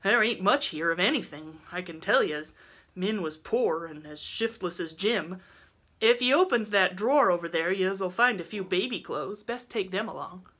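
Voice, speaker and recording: A woman's voice: lowish voice, animated, normal speed, a bit bright. Storybook character: truculent, callous old rural woman, rustic and blunt, played by a female reader. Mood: grudgingly amiable. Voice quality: grunting.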